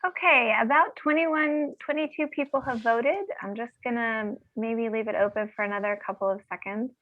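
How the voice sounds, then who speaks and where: A woman's voice: fairly high pitch, moderate pace, dry recording. A woman acting as moderator of an online class, speaking informally and practically over a call. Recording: narrow phone-like call audio.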